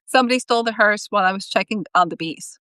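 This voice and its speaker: A woman voicing an anxious, sheepish man with a soft, hesitant manner in a story. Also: mid-range voice, fast, dry recording, close to an everyday voice. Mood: miserable. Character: anxious, sheepish, gloomy man among friends.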